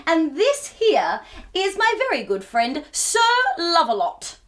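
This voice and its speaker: A woman's voice: high-pitched, big pitch swings, slow, fairly bright tone. A woman in her thirties hosting storytime for young children, talking in a playful, exaggerated sing-song way. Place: small furnished room, indoors.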